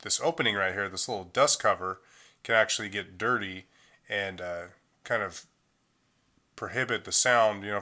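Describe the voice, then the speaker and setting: A middle-aged man giving a casual, step-by-step phone repair tutorial at a workbench, talking loosely with plenty of fillers. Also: lowish voice. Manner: relaxed, loose delivery with frequent fillers.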